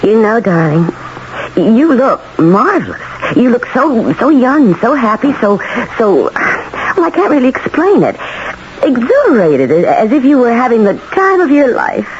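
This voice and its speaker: On a muffled, noisy vintage radio recording, a flighty, adoring wife. Her highish voice gushes affectionately, moving up and down in theatrical swoops. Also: sing-song, measured pace. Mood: adoring, gushing. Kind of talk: 1970s radio drama acting.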